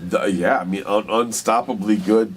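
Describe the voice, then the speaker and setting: A man co-hosting a casual movie talk, excitable and emphatic, swearing freely as he banters. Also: on the low side, normal speed, slight background noise. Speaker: podcast co-host.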